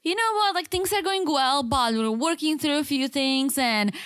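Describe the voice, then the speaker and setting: A woman co-hosting a casual podcast, her voice sitting a little high. She talks in a lively way and slips into the voices of the people she quotes. The sound is dry and close. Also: moderate pace, no echo. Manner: animated, acts out quotes from the show, frequent restarts. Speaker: podcast co-host. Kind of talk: casual chat reviewing a reality show.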